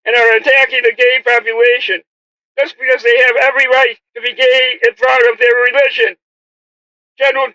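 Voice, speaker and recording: A man's voice: very high voice, sharp tone, dry recording, poor audio. A man delivering a confrontational, taunting monologue full of swearing and rhetorical questions. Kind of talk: profane taunting monologue addressed to an absent opponent.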